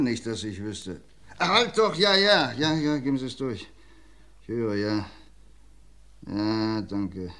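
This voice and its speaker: A man playing a shrewd, dryly ironic police commissioner in an old radio crime drama, talking in a measured, lecturing way. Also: lowish voice, fairly bright tone. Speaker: police inspector.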